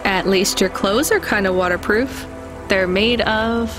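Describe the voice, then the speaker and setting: A woman's voice: medium pitch, unhurried, over music, natural voice. A woman playing a weary, guarded but caring young female delivery driver in a video game, with a dry, informal manner. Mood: guarded, curious.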